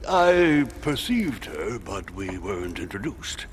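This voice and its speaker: Deep, stately statesman. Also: very animated, takes it slowly, a little hiss or hum. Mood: inquiring. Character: dignified older male president, measured and courteous. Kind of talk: video game cutscene acting.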